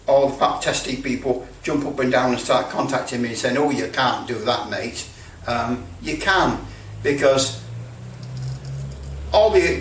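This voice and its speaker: A British man giving a casual hands-on demonstration, halting as he thinks aloud. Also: mid-range voice. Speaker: presenter demonstrating electrical testing. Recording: roomy, distant mic with background noise.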